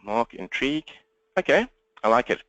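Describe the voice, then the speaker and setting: A man with a South African accent presenting an interactive webinar, talking fast in a direct way and engaging listeners with questions. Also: mid-range voice, sing-song, a bit bright, no room sound, highs cut off. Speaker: webinar presenter and coach for accountants. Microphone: computer webinar microphone, presenter standing.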